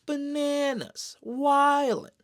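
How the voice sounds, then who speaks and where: A man giving casual, excited fan commentary in a slangy, expressive way, throwing in exclamations. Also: very high-pitched, very slow. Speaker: streamer reviewing an animated series.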